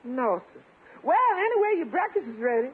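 A long-suffering household cook, dutiful and drily deferential. Her voice is high and swoops freely, in 1950s radio comedy style. The sound is thin and tinny, like an old broadcast. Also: sing-song, fairly quick. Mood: humouring.

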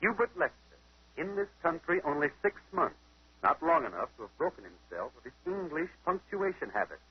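Husky, animated radio detective. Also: male voice, on the high side, normal speed, heavily acted. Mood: deductive, assured. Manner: methodical, questioning, theatrical. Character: confident amateur detective son explaining his deductions. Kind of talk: 1940s radio mystery acting. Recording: muffled old-time radio broadcast.

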